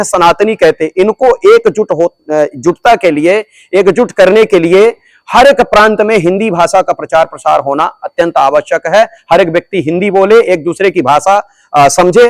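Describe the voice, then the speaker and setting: A man's voice: high-pitched, no room sound, poor audio. A man, a religious teacher, answering interview questions in a declamatory, preaching manner and repeating phrases for emphasis. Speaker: religious guru being interviewed.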